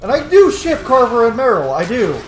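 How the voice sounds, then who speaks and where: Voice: mid-range voice, brisk, soft background music. Jokey sweary gamer doing lets play commentary, voice jumps all over, echoey room. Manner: exclaims excitedly, jokey, sweary. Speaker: gamer, let's play host. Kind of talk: casual, playful game commentary. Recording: roomy mic, faint noise, game ambience.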